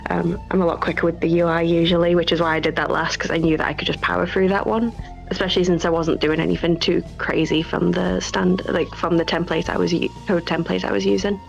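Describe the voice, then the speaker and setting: A woman's voice: lowish voice, little pitch movement, fast talker, creaky. A woman being interviewed as a game developer, casually and modestly explaining how she works. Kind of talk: casual explanation of a work process. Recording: dull lo-fi call audio, faint music.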